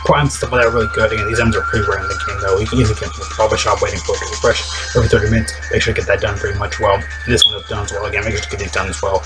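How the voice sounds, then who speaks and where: Fast-talking young man, rambling game tips. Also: flat delivery, low-quality recording. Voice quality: voice a bit deep and strained today. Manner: rambling, repetitive, upbeat. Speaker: mobile game content creator. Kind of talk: casual game guide commentary. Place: small room indoors. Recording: background music throughout.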